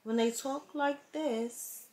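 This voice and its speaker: A woman's voice: sits high, fairly even intonation, takes it slowly, mellow. A young woman with an Atlanta accent, chatting casually and playfully to her vlog camera and her daughter at home. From born in New Orleans, raised in Atlanta. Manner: playful, laughing, talks to viewers and her daughter. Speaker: vlogger mom. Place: bedroom at home. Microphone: handheld camera close up.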